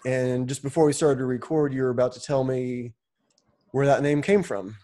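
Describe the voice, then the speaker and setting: A man in his late thirties hosts a podcast in a loose, casual way, often circling his point with fillers. His voice is mellow and warm, pitched at an ordinary middle level. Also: fairly even intonation, normal speed. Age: 30s. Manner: rambling, hedging with "like" and "um". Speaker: podcast host. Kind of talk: casual interview conversation.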